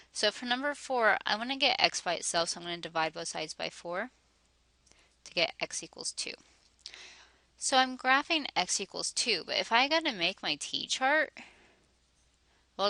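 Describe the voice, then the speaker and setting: A woman teaching a math lesson, working through each step aloud, her voice a little hoarse. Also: medium pitch, sharp tone, no echo. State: slightly hoarse, losing her voice.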